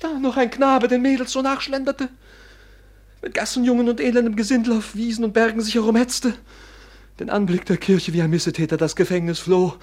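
An actor playing a scheming, envious younger son in a classical radio play, theatrical and declamatory, with an oily show of devotion. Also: male voice, sits high. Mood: feigned outrage. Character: scheming, envious younger son, falsely pious, coldly ambitious.

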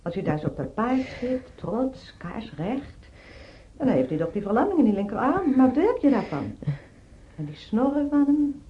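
A woman's voice: fairly high pitch, big pitch swings, moderate pace. An actress playing an opinionated working-class mother in a radio drama, plain-spoken and insistent, repeating her points. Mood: admiring. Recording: muffled, noisy old radio drama recording.

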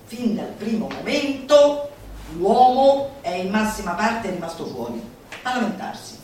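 A woman performing a theatrical, comic declamation with an emphatic, drawn-out delivery. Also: medium pitch, big pitch swings, darkish tone, a little hiss or hum. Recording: echoey live recording from far off. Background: music.

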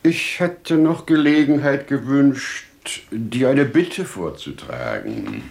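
An older actor playing a wise, humble old merchant in a 1950s German radio drama, with measured, declamatory verse delivery. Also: male voice, medium pitch, very animated, vocal fry, darkish tone, exaggerated voice. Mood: deferential, hesitant.

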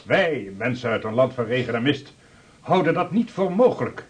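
A man's voice: mid-range voice, animated, moderate pace, natural voice. A man playing a pious explorer who speaks in declamatory, enthusiastic tones. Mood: rapturous. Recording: dull lo-fi recording.

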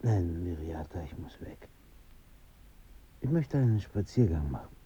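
A man's voice: low voice, very animated, measured pace, mellow, husky, exaggerated voice. An actor playing a foreign traveller, a son who grieves for his father, intense and reflective, in a radio drama. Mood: calm, reflective. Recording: slightly dull sound.

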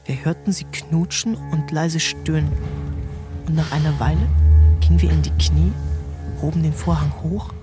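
A man narrating a literary novel in a measured, storytelling manner. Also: on the high side, steady tone, over music. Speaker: audiobook narrator.

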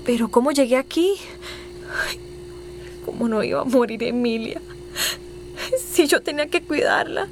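An actress playing a frightened, grieving young migrant woman in a radio drama, halting and tearful. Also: high voice, a little hiss or hum. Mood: grieving, confused. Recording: music behind the voice.

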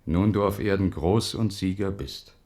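An actor in a German radio play playing a grave, devout poet-officer and loyal old friend, solemn and declamatory. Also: male voice, low-pitched, normal speed, a little mellow, natural voice.

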